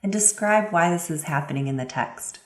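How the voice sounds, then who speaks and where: A woman giving instructional advice to parents in an expressive, emphatic way, with examples of reading aloud. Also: low voice, normal speed, roomy sound.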